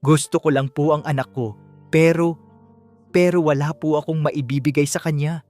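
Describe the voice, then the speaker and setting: A narrator voicing a distressed, timid young mother in an audiobook, halting and pleading through sobs. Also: medium pitch, fairly even intonation, a little mellow, faint music behind, natural voice. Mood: timid, broken.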